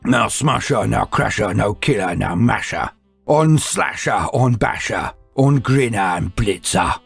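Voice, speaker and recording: A man's voice: on the low side, animated, unhurried, creaky, slightly rough, faint music behind, heavily acted. A man voicing a huge, angry ork warboss in a storytelling piece, hectoring and commanding.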